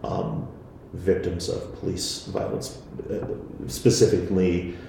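Slow, gravelly low-voiced man. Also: flat delivery, mellow, slight background noise. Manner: hesitant, many fillers and restarts. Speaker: podcast creator being interviewed. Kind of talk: casual interview answers. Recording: very echoey room.

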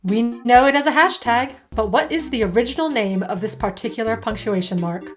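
Bright-voiced woman reading a quiz. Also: on the high side, fairly quick, music in the background. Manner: playful, teasing, reads questions crisply. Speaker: podcast co-host and quizmaster. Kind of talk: reading quiz questions on a podcast.

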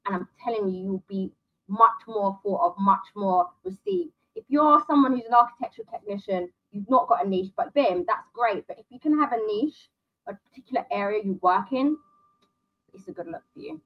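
A woman as an interview guest, briskly listing options and giving direct career advice. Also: on the high side, very animated, muffled recording.

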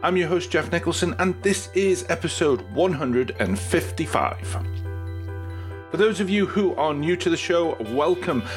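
A man's voice: fairly high pitch, expressive, normal speed, faint music behind. A man hosting a business podcast as a performance coach, reading a polished intro and then chatting with his guest in an upbeat way. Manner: upbeat, polished greeting, warm encouragement. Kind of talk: scripted intro then casual interview.

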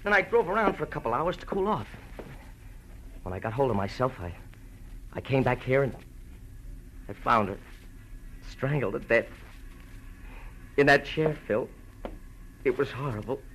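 An actor playing a frightened, halting young ex-convict in a 1940s radio melodrama, on an old recording. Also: male voice, medium pitch, lively intonation, fairly bright tone, a little hiss or hum, poor audio. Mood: shaken, halting. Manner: halting, stammering, anxious.

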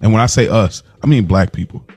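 A man co-hosting a podcast in casual conversation, talking earnestly and a bit haltingly. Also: lowish voice, darkish tone, husky, faint music behind. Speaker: podcast co-host.

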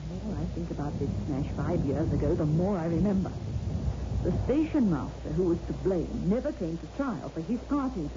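An actress playing an anxious, suspicious wife in a 1960s radio suspense drama, fretful and earnest in manner, on an old radio recording. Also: female voice, lowish voice, expressive, fairly quick, highs cut off, background noise, lightly acted. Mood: urgent, fearful. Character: anxious, suspicious wife, unsettled traveller on stormy night.

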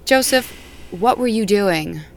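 An earnest farm owner. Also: female voice, medium pitch, expressive, slow, a little hiss or hum. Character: worried woman who co-runs a family farm. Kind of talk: audio drama acting.

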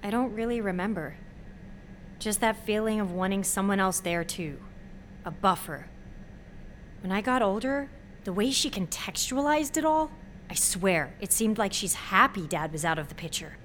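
A woman's voice: mid-range voice, animated, moderate pace, a bit bright, a little hiss or hum, natural voice. An actress playing a sheltered, anxious young female college student who speaks candidly in a naturalistic audio drama. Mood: anxious, confiding. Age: young adult.

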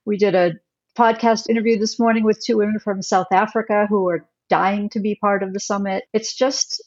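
A woman's voice: mid-range voice, fairly even intonation, moderate pace, darkish tone, muffled recording. A woman speaking casually as a podcast host in conversation.

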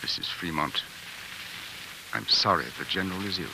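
An actor playing a courteous, hesitant gentleman offering sympathy in the style of 1940s radio drama. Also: low-pitched, normal speed, vocal fry. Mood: solicitous, hesitant. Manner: polite, hesitant. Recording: noisy, hissy old radio recording.

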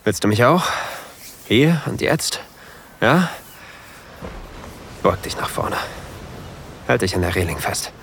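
An actor playing a possessive new lover in an intimate erotic audio drama, murmuring breathily and often whispering close to the microphone. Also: male voice, lowish voice, big pitch swings, slow pace, pauses often, fairly bright tone, slight background noise, heavily acted. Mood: aroused, possessive. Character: possessive, seductive new lover, adult man, on a sailboat. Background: faint sea ambience.

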